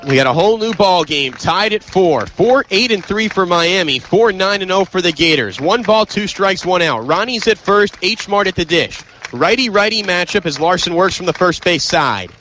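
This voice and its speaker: A young American man calling live baseball play-by-play, emphatic and excitable on big plays. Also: high-pitched, normal speed, a little hiss or hum. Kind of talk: live sports commentary.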